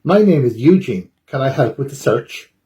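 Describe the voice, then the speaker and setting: A man's voice: mid-range voice, animated, moderate pace, mellow, natural voice. A man voicing an earnest, helpful young owl in a children's storybook, speaking emphatically. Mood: eager, encouraging.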